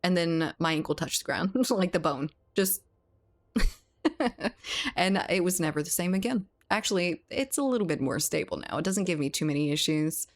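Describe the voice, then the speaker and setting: Woman game streamer, slightly low voice, chatty and laughing, close desk mic. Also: lively intonation, normal speed. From South Louisiana, US. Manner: chatty, laughs often, reads and answers chat. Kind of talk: casual live-stream chat while gaming.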